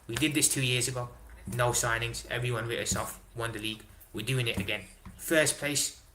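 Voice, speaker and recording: A young man hosting a casual live stream, reading chat aloud and answering in an excitable way, swearing and dropping slang. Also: mid-range voice, slight background noise. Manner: excitable, swears, London slang like innit and big up. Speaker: livestream host reading chat.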